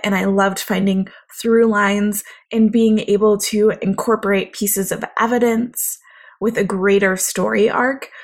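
A young woman with a naturally quiet voice, talking reflectively in a solo podcast monologue. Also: mid-range voice, gravelly, slightly rough. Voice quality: quiet, soft-spoken voice. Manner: reflective, conversational, thoughtful. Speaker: podcast host.

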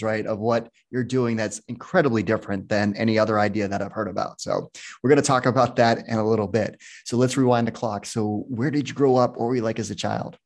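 Conversational podcast host, mid-pitched. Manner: friendly, conversational, asks open questions. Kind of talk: casual interview questions.

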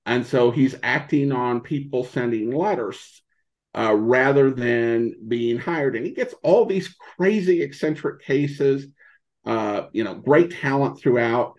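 A man speaking as a podcast guest in casual interview chat, halting as he thinks aloud. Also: mid-range voice, lively intonation, a little mellow. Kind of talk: casual interview chat about old radio shows.

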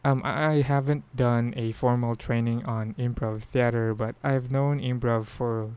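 A Filipino man with a Filipino accent talks unhurriedly on his solo podcast, rambling and restarting his sentences. His voice is mellow and smooth. The sound is dry and close. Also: medium pitch, fairly even intonation, a little slow, dry recording. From Philippines. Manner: rambling, with frequent restarts and fillers. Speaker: podcast host. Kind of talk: casual solo talk.

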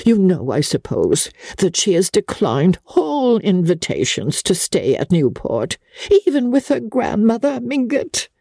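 A woman's voice: mid-range voice, sing-song, a little slow, creaky, warm tone, slightly rough, dry recording, clean studio sound, voice pushed up, full character voice. Lady doing a gushy theatrical society aunt for an audiobook, sighing and grand, really swoopy, takes her time. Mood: lamenting. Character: affected, theatrical society aunt, dramatic and gushing. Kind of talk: dramatic audiobook character acting.

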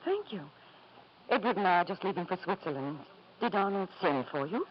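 Woman as refined lady, anxious devoted mother, expressive swooping melody, hesitant, muffled noisy vintage radio play. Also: fairly low pitch, fairly quick, close to an everyday voice. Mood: politely pleased. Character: genteel, anxious titled wife and devoted mother. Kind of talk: 1950s radio drama acting.

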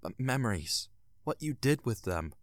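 A man's voice: mid-range voice, big pitch swings, moderate pace, no room sound, clean studio sound. A man narrating a scripted audio drama in a dramatic, theatrical storytelling manner. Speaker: narrator and announcer. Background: music.

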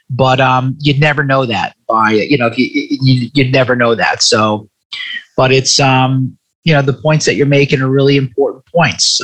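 A man's voice: mid-range voice, fairly bright tone, poor audio. A man in his forties talking reflectively in a conversational interview, stressing points by repeating phrases.

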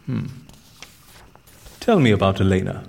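An actor playing a curious, gently probing confidant with dry wit in an audio drama, attentive and conversational. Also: male voice, deep voice, very animated, unhurried, vocal fry, heavily acted. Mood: curious, gentle.

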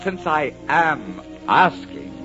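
Swooping, dashing highwayman, old radio. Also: big pitch swings, slow. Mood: gallant, flirtatious. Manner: gallant, theatrical. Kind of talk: 1940s radio drama acting. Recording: muffled old 1940s radio recording, music.

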